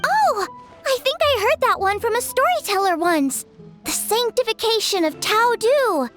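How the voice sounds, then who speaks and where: A woman voicing a tiny, excitable, childlike fairy companion in a video game, exclamatory in manner. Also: extremely high pitch, a little slow, full character voice. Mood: excited, curious. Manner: exclamatory, refers to self in third person. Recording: music behind the voice.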